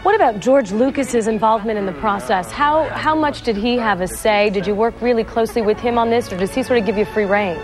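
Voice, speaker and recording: A woman's voice: a little high, fairly quick, hardly any pauses. A woman hosts a live broadcast interview with a polished, conversational delivery. Music plays behind her voice.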